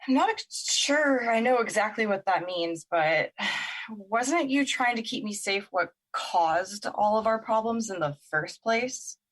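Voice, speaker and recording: A woman's voice: medium pitch, lively intonation, measured pace, fairly bright tone. A woman playing a confused, weary young woman in an emotional audio drama, questioning and emotionally exposed. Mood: puzzled. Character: Olivia, confused, weary, vulnerable young woman finding courage.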